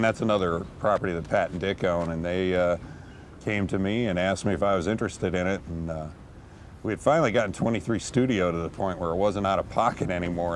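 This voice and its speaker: A man's voice: deep voice, slight background noise. A middle-aged man, a gallery founder, talking in a documentary interview in a rambling way, restarting his sentences.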